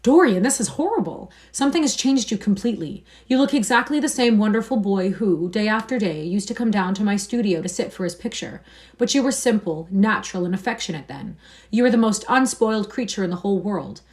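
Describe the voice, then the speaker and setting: A woman's voice: mid-range voice, lively intonation, a little fast, darkish tone, voice pushed up, close to an everyday voice. A woman voicing a grave, pained male painter in an audiobook-style reading, earnest and reproachful. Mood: distressed pleading.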